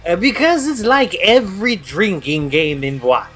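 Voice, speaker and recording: A man's voice: high-pitched, animated, a little slow, a bit bright, soft background music. A man playing a drunk, eager college partygoer in an audio drama, rambling and hesitant. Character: really drunk, eager college-age male partygoer explaining games.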